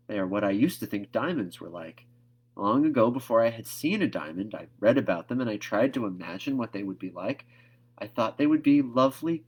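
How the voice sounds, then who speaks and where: A man reads the part of an earnest, imaginative little girl from a storybook. The voice is lifted in pitch, and the talk is effusive, wordy and dramatic. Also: close to an everyday voice. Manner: effusive, wordy, hesitant when questioned.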